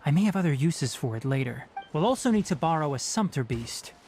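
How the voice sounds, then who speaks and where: Blunt, animated young forest scholar. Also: male voice, fairly high pitch, brisk, slight background noise. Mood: analytical, brisk. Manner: precise, scholarly, matter-of-fact. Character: young male forest watcher, analytical, dry and blunt. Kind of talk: video game voice acting.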